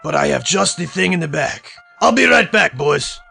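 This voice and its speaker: A man playing a gruff, creepy small-town butcher in an audio drama, with a gravelly voice and slow, deliberate delivery. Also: fairly high pitch, very animated, bright, forceful tone, faint music behind, exaggerated voice. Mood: gruff, hearty. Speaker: voice actor. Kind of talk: amateur audio drama acting.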